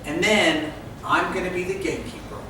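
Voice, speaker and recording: A man gives an instructive talk to a room, speaking straight to his listeners in a coaching tone. His voice sits high. It rings with heavy echo and carries from a distance over clear background noise. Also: fairly even intonation, moderate pace. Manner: instructive, coaching tone with direct address. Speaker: speaker giving a club talk. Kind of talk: presentation to an audience. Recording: echoey hall from far off with noise.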